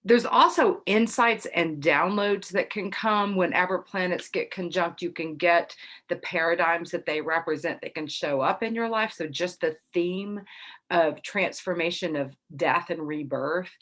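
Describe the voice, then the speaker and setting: A middle-aged woman hosting a casual astrology talk to camera, a little congested after being ill, chatty and relaxed with soft laughs. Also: fairly low pitch, measured pace. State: slightly congested after illness. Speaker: psychic astrology host.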